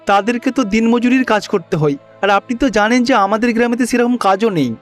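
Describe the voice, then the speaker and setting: A man's voice: very high voice, a little fast. A man playing a poor, hardworking villager who earnestly complains to his chief in a cartoon story. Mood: worried, protesting. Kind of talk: cartoon story dialogue acting. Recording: music behind the voice.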